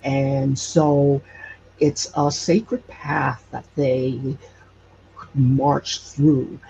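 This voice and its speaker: A man from Hawaii speaking as an interview guest, explaining local legends haltingly as he searches for words. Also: mid-range voice, flat delivery, gravelly, mellow. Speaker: podcast guest. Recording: dull, noisy audio.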